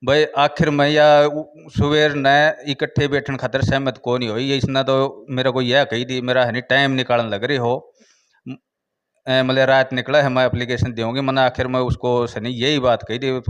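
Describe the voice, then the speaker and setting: Clear-voiced Haryanvi man, halting and repetitive. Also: medium pitch, level tone, fairly bright tone. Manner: halting, repetitive, peppered with filler phrases. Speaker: village head (sarpanch) being interviewed. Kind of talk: informal interview account.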